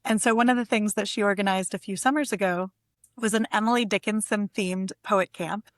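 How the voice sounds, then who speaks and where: A woman's voice: medium pitch, fairly even intonation, fairly quick, no echo, clean studio sound. A woman speaking casually as a poet guest in an interview, reflective and tentative, with a self-amused way of talking.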